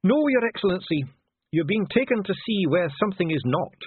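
A man's voice: sits high, sing-song, fairly quick, breathy edge, dry recording, narrow, tinny audio, pitched above the performer's own voice, exaggerated voice. A man voicing a flustered, indignant dwarf court official in an audiobook, hesitant and muttering. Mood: sullen. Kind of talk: audiobook character voice.

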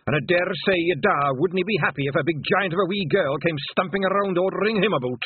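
A tiny, proud pixie elder scolds in broad Scottish dialect. The voice is raised, husky and full of swoops. It is a storybook figure on a phone-like recording. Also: male voice, sits high, quick pace, dry recording, pitched above the performer's own voice. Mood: testy, proud. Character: proud, testy, tiny ninety-six-year-old male pixie piper.

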